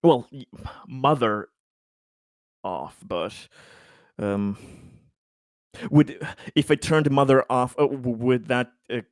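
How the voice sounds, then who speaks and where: A man with a middling pitch talks through his moves in a tabletop game. His delivery is casual and halting, full of stammered repeats. The sound is dry, with no room to it. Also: no room sound. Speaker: tabletop roleplaying player.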